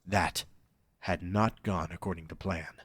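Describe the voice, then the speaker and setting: A man's voice: sits low, fairly even intonation, a little slow, a bit bright, slightly rough, dry recording, studio quality. A man reading scripted story narration as the narrator of an audio drama.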